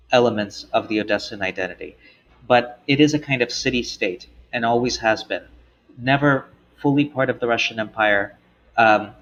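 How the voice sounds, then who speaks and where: A man giving a fluent, measured explanation in an interview. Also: medium pitch, fairly bright tone. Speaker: interviewee, expert. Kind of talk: interview commentary. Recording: dull lo-fi sound.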